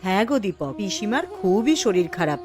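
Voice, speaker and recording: A woman voicing a polite, dutiful sister-in-law in cartoon dialogue, speaking in a gentle manner. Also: medium pitch, sing-song, normal speed, over music, close to an everyday voice. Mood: welcoming, concerned.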